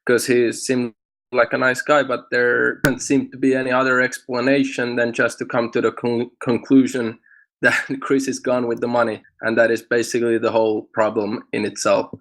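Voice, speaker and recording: A man's voice: mid-range voice, monotone, normal speed, a bit bright, low-quality recording. A man from Finland in an online community discussion, speaking plainly and a little hesitantly. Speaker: community member.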